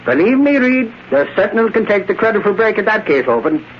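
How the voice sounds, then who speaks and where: High-pitched, blustery newspaper reporter. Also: male voice, sing-song, brisk. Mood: cocksure. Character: blustery, cocksure male newspaper reporter, eager and excitable. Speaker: radio drama actor. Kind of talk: old-time American radio drama acting. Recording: muffled, noisy vintage radio recording.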